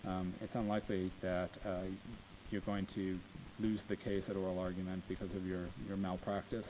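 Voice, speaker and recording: A man with a low voice that he says is not dynamic, giving an informal talk to law students from a podium microphone, unhurried and full of ums. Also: level tone. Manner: unhurried, many ums, plainspoken. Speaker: lecturer on appellate oral argument. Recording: tinny phone-like audio, faint hiss.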